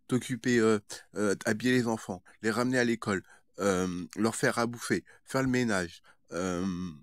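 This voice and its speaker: A man's voice: low voice, dry recording. A man talking casually to his viewers as a vlogger, in a rambling, hesitant way with frequent stammered repetitions of words. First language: French.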